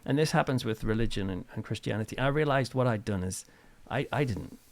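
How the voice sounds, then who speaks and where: A middle-aged British man chatting casually as an interview guest, telling anecdotes in an informal, animated way. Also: mid-range voice, brisk. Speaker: interview guest, author and reverend.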